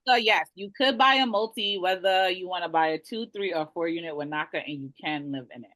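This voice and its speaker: A woman with a Jamaican accent, a realtor talking on a webinar panel over a video call in a blunt, animated, colloquial way. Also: lowish voice, a little fast, dry recording.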